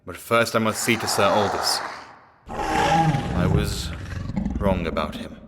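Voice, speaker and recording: A man's voice: fairly low pitch, big pitch swings, slow pace, vocal fry, very noisy, exaggerated voice. A man playing a solemn, honour-bound paladin in a fantasy game, speaking in formal, archaic phrases with grave remorse. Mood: solemn regret. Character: solemn honour-bound male paladin knight, guilt-ridden and dutiful. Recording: music playing behind the voice.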